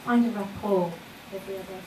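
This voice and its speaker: A woman's voice: medium pitch, fairly even intonation, a little fast. Woman panelist, plain and slightly hesitant; echoey room, noise. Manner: plain-spoken, a little hesitant. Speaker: panel member. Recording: echoey room, distant mic, background noise.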